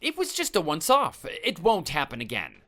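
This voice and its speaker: A cowardly, grovelling male neighbor, put on by a man in a comic skit. The voice sits high and jumps around as he stammers and begs. Faint music plays underneath. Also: wildly swooping pitch, normal speed, fairly bright tone, exaggerated voice. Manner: stammering, pleading, apologetic.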